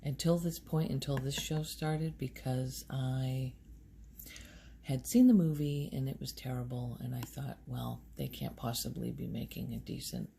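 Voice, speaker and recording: A woman hosting a soft-spoken ASMR video, talking casually in a gentle, hushed and unhurried manner. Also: rumbling low, slight background noise. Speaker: host of a relaxation video channel.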